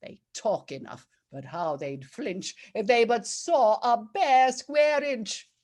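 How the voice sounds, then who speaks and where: Woman playing a regal judge, slow and swooping. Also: fairly high pitch, animated, darkish tone. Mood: scornful. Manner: declamatory, theatrical, savouring each rhyme. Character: regal, righteous female judge of a bawdy court. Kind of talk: dramatic verse reading, rhyming comic poem.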